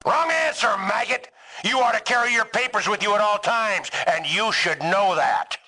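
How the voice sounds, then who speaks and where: An actor playing a furious, bullying army drill sergeant in a video game, barking orders with contempt and sarcasm. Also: male voice, high voice, expressive, normal speed, bright, forceful tone, full character voice. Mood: scornful, sarcastic.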